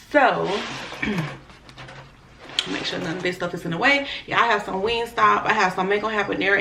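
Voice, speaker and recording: Hoarse, slow-talking woman in an echoey room. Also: mid-range voice, a bit bright, a little hiss or hum. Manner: laid-back, talks to viewers as y'all between bites. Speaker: mukbang host. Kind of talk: casual eating-show chat.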